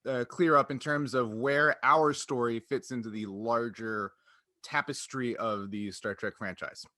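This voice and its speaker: A man with a bright, forward voice chats casually as a podcast host and game master. He rambles through explanations, often breaking into his own sentences. The sound is close and dry. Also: medium pitch, no room sound. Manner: rambling, self-interrupting explanations.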